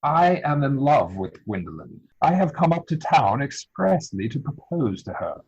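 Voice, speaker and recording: Muffled zoom recording, guy doing proper young gentleman suitor, earnest n easily flustered, lively pitch, stage comedy. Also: normal speed, slightly rough. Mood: lovestruck, miffed. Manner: formal, mannered, indignant delivery. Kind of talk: dramatic reading of a period stage comedy. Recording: dull lo-fi video-call audio.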